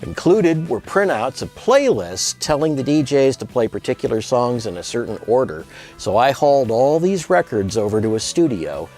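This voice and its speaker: A man's voice: mid-range voice, sing-song, moderate pace, darkish tone. A man presenting a scripted promotional narration as the person behind a music archive project. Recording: music bed under voice.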